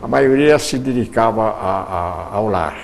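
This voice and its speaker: Gravelly older man reminiscing slowly. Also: on the low side, animated, a little hiss or hum. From Brazil. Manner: unhurried storytelling with pauses and restarts. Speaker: guest, veteran radio actor. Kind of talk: reminiscing interview answers.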